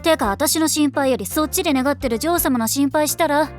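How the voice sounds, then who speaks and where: A woman's voice: high-pitched, fast talker, soft background music, natural voice. A woman voicing a defiant, tomboyish teenage schoolgirl in an anime, curt, slangy and offhand. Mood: dismissive. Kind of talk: anime voice acting.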